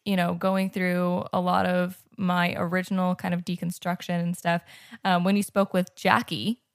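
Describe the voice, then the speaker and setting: A woman's voice: on the low side, flat delivery, normal speed, smooth, dry recording, clean studio sound. A woman hosting a casual interview, asking rambling questions full of fillers and backchannels. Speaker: podcast host.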